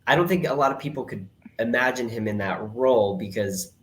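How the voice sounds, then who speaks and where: A man's voice: medium pitch, brisk. A man with a slight Rhode Island accent, co-hosting a podcast and explaining things in earnest detail. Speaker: podcast co-host. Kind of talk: casual podcast chat.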